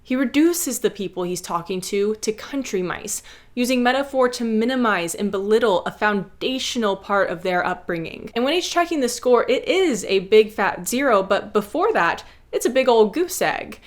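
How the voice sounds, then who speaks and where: A woman's voice: on the high side, expressive, moderate pace. A woman hosting a video essay, narrating a scripted lesson and playfully addressing viewers like a schoolteacher.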